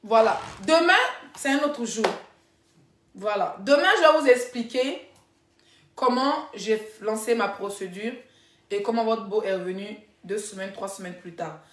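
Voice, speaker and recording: A woman's voice: medium pitch, a little slow, slight background noise. A woman telling stories casually to followers on a live stream, addressing them directly and repeating herself for emphasis. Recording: echoey room.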